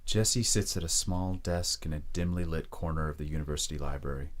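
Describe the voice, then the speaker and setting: A male narrator with a remarkably deep voice. He reads scripted scene description for an audio drama. His delivery is measured and descriptive.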